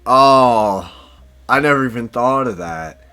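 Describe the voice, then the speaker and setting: A man's voice: mid-range voice, animated, takes it slowly, pushed and bright, smooth, faint music behind. A man in a casual podcast chat, explaining things hesitantly with plenty of fillers.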